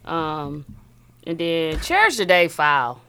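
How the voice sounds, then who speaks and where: A woman's voice: sits low, big pitch swings, moderate pace, pushed and bright, clean tone. A woman co-hosting a casual chat show, blunt and laid-back, laughing often. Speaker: podcast co-host.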